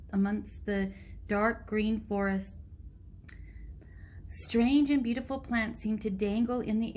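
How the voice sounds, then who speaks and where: Slow-paced woman reading to children. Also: mid-range voice, darkish tone, a little hiss or hum. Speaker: teacher reading aloud to children. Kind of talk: storybook read-aloud for young children.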